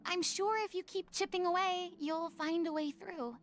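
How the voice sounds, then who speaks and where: A woman's voice: extremely high pitch, fairly even intonation, normal speed, a little hiss or hum. An actress playing a proud, theatrical young woman who is flustered and stammers, then turns formal, in a game. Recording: game audio with faint music.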